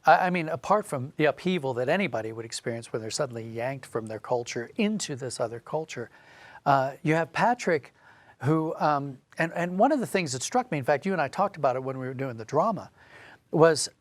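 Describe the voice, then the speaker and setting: Man, interview guest, mid-pitched, explanatory storyteller, emphatic phrasing, dry room-free recording. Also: lively intonation, brisk, no echo. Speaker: guest, audio drama writer and director.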